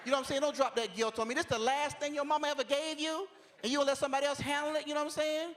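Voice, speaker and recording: A man delivers a stand-up set in an easy, conversational storytelling way. His voice sits high for a man. A faint noise runs underneath. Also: steady tone, very fast, fairly bright tone. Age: 40s. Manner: conversational storytelling, repeats "you know what I'm saying". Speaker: stand-up comedian. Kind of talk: stand-up comedy routine.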